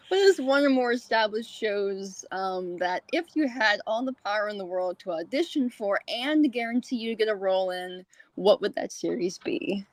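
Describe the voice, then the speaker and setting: A woman's voice: medium pitch, lively intonation, normal speed, no room sound. A woman hosting an interview podcast, asking questions in an informal, chatty way. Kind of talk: casual interview chat.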